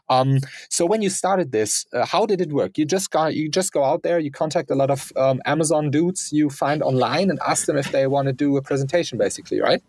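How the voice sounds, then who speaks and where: A male podcast host talks in a mid-pitched voice, chatty and eager. He chimes in with quick "yeah"s and questions. The sound is dry and close, with little room on it. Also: a little mellow, no echo. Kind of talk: casual interview talk.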